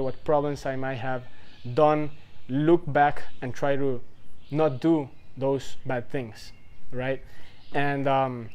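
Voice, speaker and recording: A man's voice: medium pitch, darkish tone. Rambling man in his twenties. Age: 20s. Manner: rambling, loose, full of "like" and "right?". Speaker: vlogger giving life advice. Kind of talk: casual talk to camera.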